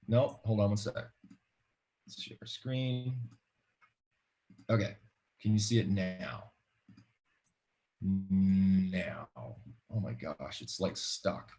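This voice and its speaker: A middle-aged man with an American accent explaining informally on a video call, halting and repeating words as he goes. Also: on the low side, big pitch swings, darkish tone, soft background music. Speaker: webinar guest presenter, marketing expert. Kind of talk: informal explanatory webinar talk. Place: home room, indoors.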